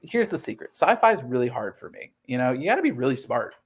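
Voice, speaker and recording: A man's voice: medium pitch, sing-song, fast talker, breathy edge. Earnest man telling his story in an interview. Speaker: interview guest, comic book writer.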